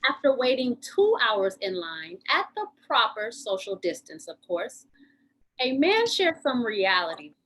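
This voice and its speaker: A woman's voice: a little high, very animated, unhurried, a bit bright, slightly rough, rough recording. A woman reading scripted narration that sets up dramatic scenes.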